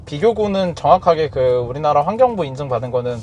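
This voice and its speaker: A man's voice: on the high side, lively intonation, fast, slight background noise. Hesitant man around thirty. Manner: hesitant, searching for words with fillers and soft laughs. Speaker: car owner interviewee. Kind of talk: casual interview answers.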